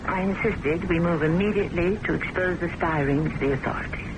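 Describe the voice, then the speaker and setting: A woman's voice: brisk, close to an everyday voice. Actress as an intense, insistent American woman, noisy radio. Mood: bitter, defensive. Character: intense, possessive woman, insistent and romantically obsessed. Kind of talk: radio mystery drama acting. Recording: noisy old radio broadcast with rumble.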